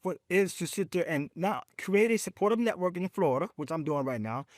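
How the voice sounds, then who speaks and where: Emphatic, animated veteran advocate man. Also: brisk, no room sound, studio quality. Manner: emphatic, animated storytelling, speaks of faith; rising pitch when excited. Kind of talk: podcast conversation.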